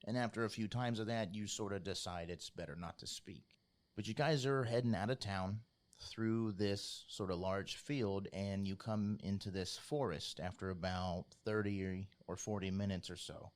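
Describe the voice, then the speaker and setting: A man narrating a tabletop role-playing game as game master, in a measured, informal, descriptive storytelling style. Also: fairly low pitch. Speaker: game master, podcast host.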